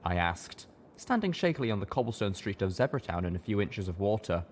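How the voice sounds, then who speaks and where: Low-voiced man narrating a story. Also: a little fast, slight background noise. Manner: steady storytelling. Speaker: audiobook narrator. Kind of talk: scripted first-person narration.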